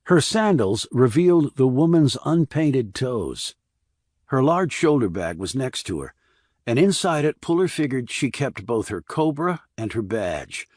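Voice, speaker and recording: A man's voice: medium pitch, very animated, measured pace, a little mellow, dry recording. Male audiobook narrator, dry close sound. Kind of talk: scripted crime thriller narration.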